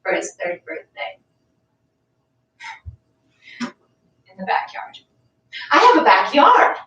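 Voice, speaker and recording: A woman's voice: fairly high pitch, huge pitch swings, slow pace, a bit bright, lo-fi sound, exaggerated voice. A woman playing a bitter, privileged suburban wife and mother in a staged play reading, emphatic and exasperated. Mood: tense, brittle. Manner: profane ranting, emphatic. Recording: echoey room, far from mic.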